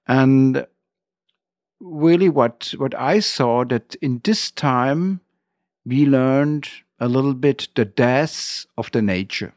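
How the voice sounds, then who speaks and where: A middle-aged man with a German accent, telling his story as an interview guest in halting, non-native English phrasing. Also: mid-range voice, darkish tone, dry recording, clean studio sound.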